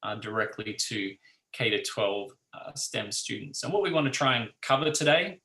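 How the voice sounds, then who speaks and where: Male host, rambling intro, gravelly and bright, roomy echo. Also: fairly low pitch, expressive, moderate pace. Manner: rambling, filler-heavy. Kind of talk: introducing a talk. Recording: echoey room, distant mic.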